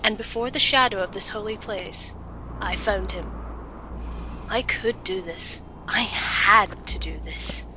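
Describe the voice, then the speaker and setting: An actress playing an earnest, determined alchemist adventurer in a fantasy audio drama, speaking in a measured, serious way. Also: female voice, medium pitch, background noise, natural voice. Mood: grave narration, then nervous resolve. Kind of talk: audio drama narration and dialogue. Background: music.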